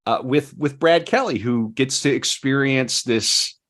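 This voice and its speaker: A man's voice: medium pitch, measured pace, fairly bright tone. A man hosting a podcast in casual, playful banter with a jokey, theatrical way of talking. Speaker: podcast host.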